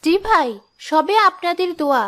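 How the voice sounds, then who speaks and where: Woman playing a very high-voiced gentle village mother. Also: normal speed. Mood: gentle, welcoming. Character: kind, polite village mother running a tea stall. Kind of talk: Bengali cartoon dub. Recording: music behind the voice.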